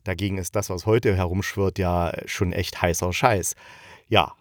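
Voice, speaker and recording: A man's voice: low-pitched, fairly even intonation, normal speed, no echo, studio quality. A man hosting a podcast, giving a casual, unscripted review in a rambling, colloquial and enthusiastic way, jumping between asides. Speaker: podcast host reviewing audio dramas.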